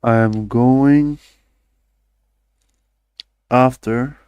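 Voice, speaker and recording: A man's voice: medium pitch, steady tone, very slow, darkish tone, smooth, no echo, muffled recording. A young man, chatting loosely on a stream, rambling and swearing casually with self-deprecating asides. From Denmark. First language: Danish.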